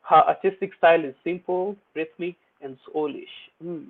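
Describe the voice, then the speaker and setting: Young man, playful host, slangy chatter, slightly high voice. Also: normal speed. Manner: chatty and playful, mixing English with Swahili slang. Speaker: host of an online poetry open mic. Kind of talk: casual livestream hosting. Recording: tinny phone-like audio.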